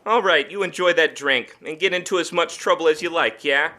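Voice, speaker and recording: Smug, bright-voiced crime boss. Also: male voice, a little high, a little fast. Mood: condescending. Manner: condescending, taunting. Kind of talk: radio drama acting.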